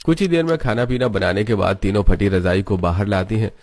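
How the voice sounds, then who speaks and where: A man's voice: fairly low pitch, fairly quick, darkish tone. A man reading scripted storybook narration for a cartoon.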